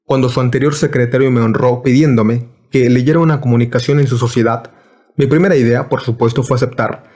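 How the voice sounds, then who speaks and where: A man reads a philosophy lecture aloud in an even, formal way. His delivery is measured and deliberate, like a scripted recording. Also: medium pitch.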